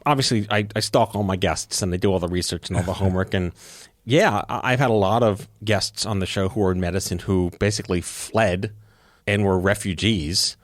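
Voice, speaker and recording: A man's voice: low voice, fairly quick, no room sound, studio quality. A man hosting a podcast interview, casual and irreverent, cracking jokes and swearing freely. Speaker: podcast host.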